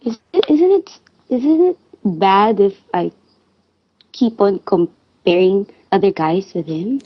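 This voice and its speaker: A woman in her twenties from the Philippines calling an advice show, halting and hesitant, with many restarts. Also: mid-range voice, animated, dry recording. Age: 20s. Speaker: caller. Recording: dull dry phone-line sound.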